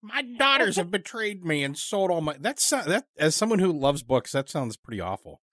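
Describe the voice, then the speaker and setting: A man's voice: high voice, big pitch swings, moderate pace, pushed and bright, no echo, studio quality. A man hosting a casual interview, musing and joking in a wry, conversational manner. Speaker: interviewer, podcast host.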